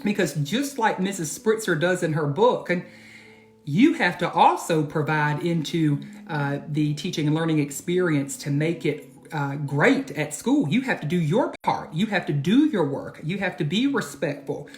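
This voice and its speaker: A man reading aloud and teaching for children, expressive and addressing them directly with questions. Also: fairly high pitch, moderate pace, faint music behind. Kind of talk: children's storybook read-aloud and lesson.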